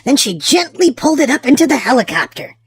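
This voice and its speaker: Woman reading a storybook with very animated pitch. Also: slightly rough, dry recording. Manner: theatrical, drawn-out emphasis for small children. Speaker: children's storybook reader and channel host. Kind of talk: expressive read-aloud narration for young children.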